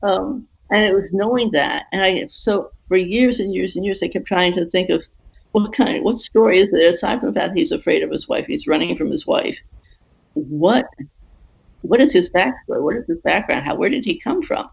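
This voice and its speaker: A woman giving a casual interview as a guest author, explaining things haltingly and rephrasing as she goes. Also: on the low side, vocal fry, breathy edge, poor audio.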